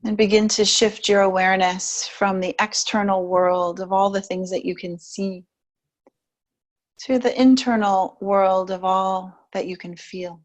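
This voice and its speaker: Woman softly guiding bedtime yoga relaxation. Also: medium pitch, steady tone. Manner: soothing, unhurried, with long pauses between short phrases. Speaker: yoga nidra and stretch instructor. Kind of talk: guided relaxation and gentle yoga instruction.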